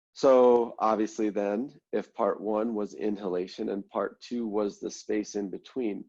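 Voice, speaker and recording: A man leading an online mindfulness class, congested and a little nasal, explaining breathing steps in a relaxed way with many ums and "right?" check-ins. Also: on the low side, gravelly, lo-fi sound. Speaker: mindfulness instructor.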